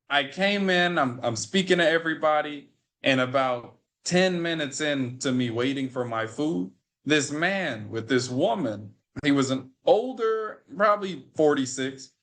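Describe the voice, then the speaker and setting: An American man in his twenties, a podcast guest on a video call, telling a story in an animated way that repeats and restarts phrases. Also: on the high side. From United States. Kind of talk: storytelling in a remote interview.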